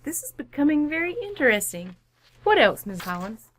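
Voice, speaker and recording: Suburban wife for radio comedy, played by a woman: higher-pitched, firm and polite, with cool sarcasm, close dry sound. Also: very animated, moderate pace, a bit bright, no room sound. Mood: coolly amused. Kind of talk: old-time radio sitcom acting.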